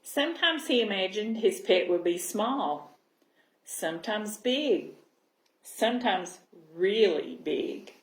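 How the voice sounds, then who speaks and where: Slow-paced woman reading a storybook, echoey. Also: mid-range voice. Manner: expressive, pausing to check understanding with listeners. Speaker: children's library storyteller. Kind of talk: storybook read-aloud for young children. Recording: roomy, echoing sound.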